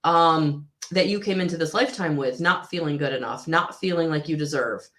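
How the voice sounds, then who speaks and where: A woman giving a live tarot reading to viewers, with a husky voice, slightly hoarse after a recent illness, speaking in a soothing, repetitive way with frequent ums. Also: low voice, moderate pace, fairly bright tone. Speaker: tarot reader.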